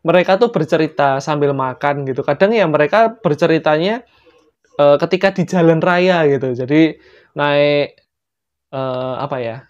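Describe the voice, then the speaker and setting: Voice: mid-range voice, normal speed, muffled recording. A young adult with a Javanese-influenced Indonesian accent improvises a story casually, with playful, joking asides. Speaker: storyteller, channel host.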